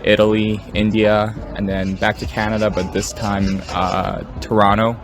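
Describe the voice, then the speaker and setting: A young man casually answering street interview questions outdoors on a campus, relaxed with fillers. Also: lowish voice, moderate pace.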